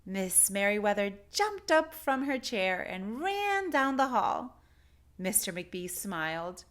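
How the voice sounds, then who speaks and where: A woman narrating a picture book aloud for children, with deliberate, expressive phrasing. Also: mid-range voice. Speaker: children's story reader.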